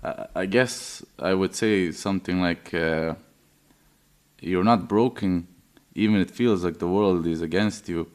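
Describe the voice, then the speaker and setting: A man's voice: low voice, unhurried, a little mellow. A man in his twenties from Serbia, giving a personal testimony hesitantly, with many fillers and restarts. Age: 20s. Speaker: interviewee.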